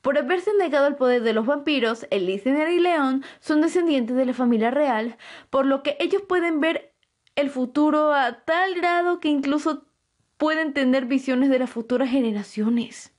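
A woman's voice: high-pitched, normal speed, a little mellow, lightly acted. A woman playing an anxious, bewildered young woman in a fantasy audio drama, hesitant and wide-eyed. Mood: hesitant realization.

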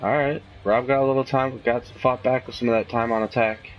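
A man's voice: medium pitch, fairly even intonation, a little fast, muffled recording, faint music behind. A man casually chatting and bantering as he plays a video game, in an informal, easygoing way. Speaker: gaming show co-host playing. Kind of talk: casual gameplay chat.

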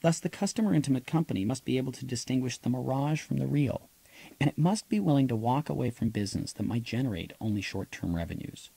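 A man narrates a nonfiction audiobook in a mellow voice with a noticeable creak. His delivery is scripted and explanatory, laid out point by point. The sound is dry and close, with no room to it. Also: mid-range voice, expressive, fast, no echo. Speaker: author reading own audiobook.

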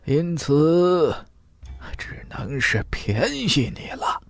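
A man voicing a scheming, wheedling old male cultivator with a croaky, drawn-out delivery for a fantasy audiobook. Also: a little high, very animated, faint music behind, voice pushed up, exaggerated voice. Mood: sly wheedling.

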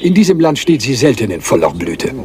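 An actor playing a fussy, precise little Belgian detective who lectures politely in a German radio play. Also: male voice, mid-range voice, very animated, fairly quick, a crowd in the background, natural voice. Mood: lecturing.

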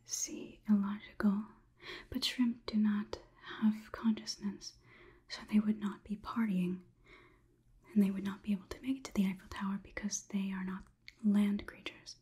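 A young woman whispering an ASMR roleplay close to the mic as a coolly logical robot overlord, in a halting, clinical, deliberate way. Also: mid-range voice, flat delivery, dark tone. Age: young adult. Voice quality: breathy, unvoiced whisper. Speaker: ASMR performer. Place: small indoor room. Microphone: close microphone.

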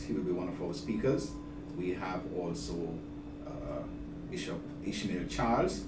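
An older, low-voiced man answers interview questions as a bishop. He speaks deliberately, with halts and repeated words. He sits away from the mic in a roomy office, with clear noise behind him. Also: far from the mic. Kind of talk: interview, inviting the public to an event. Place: small office, seated at a desk.

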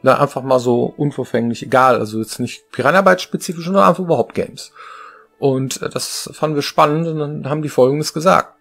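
A man's voice: fairly high pitch, brisk, creaky, a bit bright, faint music behind. A man co-hosting a casual studio chat, talking in a chatty way and throwing out rhetorical questions. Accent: Ruhr German. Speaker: co-host. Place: home studio with shelves.

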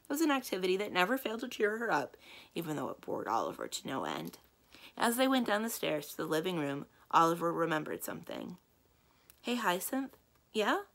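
A young woman reading a children's book aloud as a library storyteller, with measured, expressive narration. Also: on the low side, creaky. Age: young adult.

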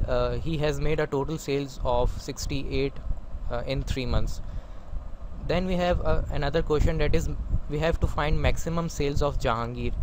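A man giving a spoken software tutorial in a halting manner, pausing often to hesitate as he explains each step. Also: a little high, background noise. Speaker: instructor. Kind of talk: spoken software tutorial over screen recording.

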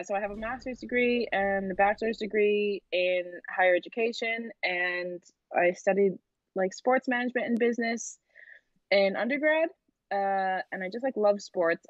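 A woman's voice: medium pitch, no room sound, lo-fi sound. A young woman from the United States, a guest on a video call, chatting casually with frequent laughs and lots of "like". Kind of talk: casual interview chat. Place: room at home by a window.

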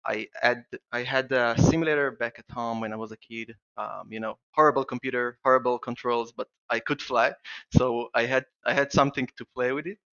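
A man's voice: mid-range voice, fairly bright tone, clean tone, highs cut off. A man from Israel with an Israeli accent, a guest on a podcast interview, talking eagerly with many restarts and fillers. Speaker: guest plugin developer.

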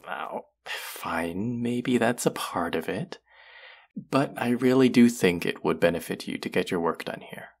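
Casting: male voice actor as a playful young barista, low gravelly voice, lively teasing delivery. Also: a little slow. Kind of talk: audio roleplay for a listener.

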